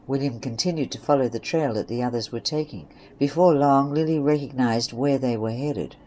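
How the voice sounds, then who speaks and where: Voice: medium pitch, normal speed, mellow, a little hiss or hum, rough recording. A narrator reading scripted third-person story passages for an amateur western audio drama.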